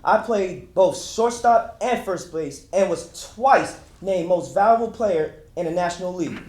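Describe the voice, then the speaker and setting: Man as a proud high-voiced ballplayer, echoey. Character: proud retired star baseball player recounting his career. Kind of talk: dramatic reading, first-person monologue. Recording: very echoey room, faint noise.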